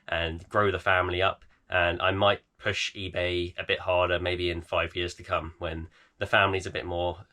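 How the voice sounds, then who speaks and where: A man in his thirties, croaky and tired, chatting casually in a vlog with lots of fillers. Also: low-pitched, flat delivery, moderate pace, pushed and bright. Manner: tired, rambling with many fillers. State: croaky, voice almost gone. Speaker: eBay reseller vlogger. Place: home garage.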